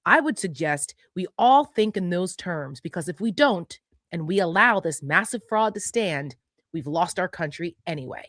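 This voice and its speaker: A woman's voice: lowish voice, animated, moderate pace, fairly bright tone, no room sound, clean studio sound. A woman co-hosting a political talk, reading messages aloud and adding conversational commentary.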